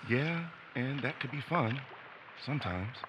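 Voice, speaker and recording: A man's voice: medium pitch, animated, measured pace, lo-fi sound, natural voice. An actor playing a conflicted, self-deprecating young man in an audio drama, talking hesitantly and naturally. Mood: wistful, reflective. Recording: loud outdoor ambience noise.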